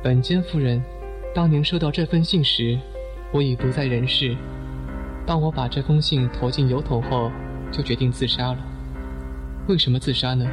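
A man's voice: medium pitch, steady tone, normal speed, long pauses, over music, lightly acted. A man playing a serious middle-aged member of a wealthy family in a detective audio drama. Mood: serious, measured.

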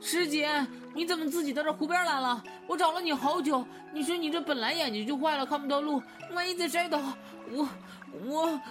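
Woman voicing a high-pitched, fretful young male disciple. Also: a little fast, hardly any pauses, music in the background, natural voice. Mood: worried, fussing. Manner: fretful, earnest, excitable. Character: anxious, protective, boyish young male martial disciple. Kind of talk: Chinese wuxia audio drama acting.

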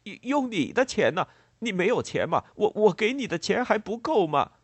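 Angry greedy farmer from an audiobook, stutters, repeats himself, voice swooping way up. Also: male voice, sits high, big pitch swings, normal speed, breathy edge, no echo, pitched above the performer's own voice, exaggerated voice. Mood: furious, accusing. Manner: stammering, repeating words, blustering. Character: frantic, greedy, angry farmer, a grown man.

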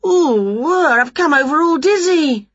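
Woman putting on a high, swooping, scatty witch. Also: animated, unhurried, no echo, dull audio. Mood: flustered. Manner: exclamatory, colloquial, comically grumbling. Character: excitable scatty witch with skinny legs, daft and cheeky.

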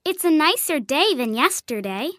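A woman's voice: sits high, very animated, moderate pace, no echo, studio quality, pitched above the performer's own voice. A woman voicing a cheerful, guileless young girl in a storybook, with an earnest, eager manner. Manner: bright, earnest, exclamatory. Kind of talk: storybook character dialogue.

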